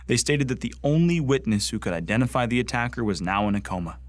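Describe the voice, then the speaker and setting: An actor playing a bitter, bigoted young man who wants to join a space marine corps, with an intense, indignant delivery and heavy pauses in a radio drama monologue. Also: mid-range voice, expressive, dry recording, natural voice. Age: young adult.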